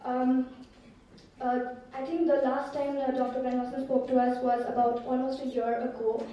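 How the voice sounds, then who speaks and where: Female event host, fairly high, even-toned voice, formal and hesitant, heard distantly in a very echoey hall. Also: lo-fi sound. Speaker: event host introducing a speaker. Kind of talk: formal spoken introduction. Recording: roomy echo, distant mic, faint noise.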